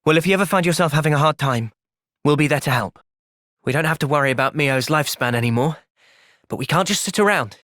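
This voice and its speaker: A man voicing an earnest, thoughtful young soldier in a video game, with an English accent and a measured, sincere delivery. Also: fairly high pitch, a bit bright, no echo, studio quality, close to an everyday voice. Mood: calm, earnest. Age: young adult. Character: earnest, thoughtful young male soldier, gentle but resolute. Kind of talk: video game cutscene acting.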